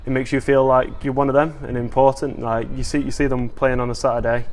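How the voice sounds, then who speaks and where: A young man talks casually in an interview as an academy rugby player. His pitch stays flat, and he keeps dropping in fillers. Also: fairly quick. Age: young adult. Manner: casual, filler-heavy, keen.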